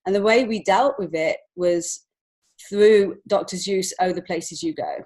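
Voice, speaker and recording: A woman's voice: medium pitch, unhurried, a little mellow, slightly rough. A woman telling personal stories as a podcast guest, speaking in a heartfelt, informal and emotionally open way. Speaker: podcast guest, children's book author and illustrator. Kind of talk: personal storytelling in an interview.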